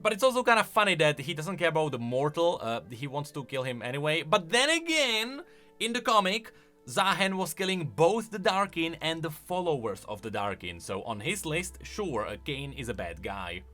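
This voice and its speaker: A man gives casual commentary as host of a game lore video. His voice sits fairly high and moves around a lot, with an emphatic, conversational delivery. Light music plays underneath. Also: sing-song, normal speed, sharp tone.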